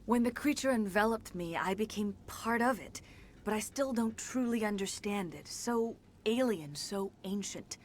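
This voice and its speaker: An actress plays a centuries-old alien woman in a video game drama. Her voice sits at a medium pitch. She phrases everything formally and gravely, with a serene, remorseful dignity. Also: normal speed, slight background noise, lightly acted. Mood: awed, mournful.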